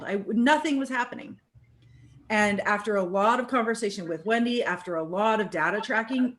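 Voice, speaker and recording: A woman hosting a relaxed group conversation. She sounds upbeat and encouraging, talks in a loose, chatty way and keeps checking in with her guests. Her pitch sits in an ordinary middle range. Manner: upbeat, encouraging, chatty with many "right?" tags. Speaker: host. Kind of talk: casual group chat.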